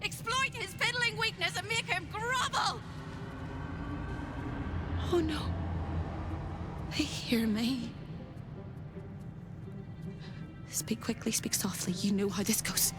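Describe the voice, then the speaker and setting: An unhinged, whimsical ghostly prisoner from a video game. She warns in a jittery, theatrical sing-song. Music is loud behind her. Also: very high voice, slow, pauses often. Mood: frantic. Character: unhinged, whimsical female captive apparition, jittery and warning.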